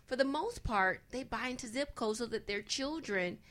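A woman's voice: fairly high pitch, expressive, normal speed, fairly bright tone. A woman speaking conversationally as a guest on a panel about investing, emphatic and repeating phrases for emphasis.

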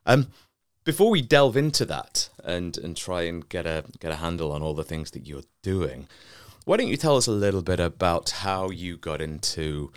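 Deep-voiced effusive podcast host, man. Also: lively intonation, moderate pace, clean tone, dry recording, clean studio sound. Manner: warm, effusive praise of the guest. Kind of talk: interview host introduction.

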